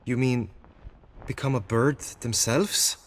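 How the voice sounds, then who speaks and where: An actor playing an earnest, anxious, gallant knight in a video game, with formal and florid courtly speech. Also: male voice, mid-range voice, takes it slowly. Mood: earnest, resolute. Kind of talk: dramatic video game acting.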